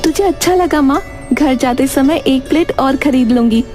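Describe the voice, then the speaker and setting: A woman's voice: sits high, normal speed, a little mellow, pitched above the performer's own voice, natural voice. A woman voicing a caring, eager young village daughter in a cartoon story. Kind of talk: cartoon moral story acting. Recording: music behind the voice.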